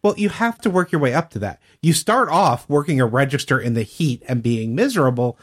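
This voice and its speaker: A man's voice: mid-range voice, lively intonation, a little fast. A man telling anecdotes in a casual, chatty podcast conversation. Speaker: podcast regular telling stories.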